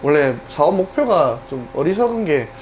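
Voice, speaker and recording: A man with a Gyeongsang accent hosting a talk show, joking and chatting in a lively way. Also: fairly high pitch, normal speed, muffled recording, slight background noise. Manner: lively, joking, frequent backchannel. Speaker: host. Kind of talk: casual conversation.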